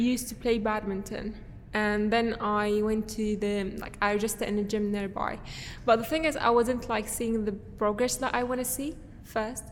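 A woman's voice: medium pitch, long reverb tail, a little hiss or hum. A young woman from Syria, a podcast guest, answering casually with many fillers and restarts.

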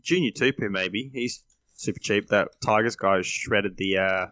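A man's voice: fairly low pitch, a little slow, sharp tone, dry recording, studio quality. A man with an Australian accent talking casually as a panellist on a fantasy sports podcast.

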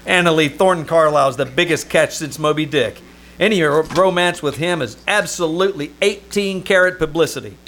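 A man's voice: on the high side, fairly quick. A man playing a wry, lovesick and easily flustered Hollywood press agent in an old-time radio comedy performed on a theater stage. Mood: dry, resigned. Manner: wry, excitable, stammering when flustered. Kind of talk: old-time radio comedy acting with first-person narration. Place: small-town theater stage. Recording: noisy live theater stage recording.

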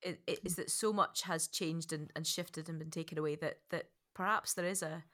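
A woman's voice: sits low, steady tone, moderate pace, pushed and bright. A middle-aged woman hosting a podcast conversationally, reflecting tentatively and repeating words as she goes. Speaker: podcast host.

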